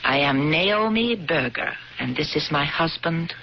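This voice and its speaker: Vengeful survivor, measured and cold, fairly low voice for a woman, vintage radio sound. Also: animated. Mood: icily accusing. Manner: measured, icy, deliberate. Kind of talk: 1970s radio drama acting. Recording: dull old radio broadcast with hiss.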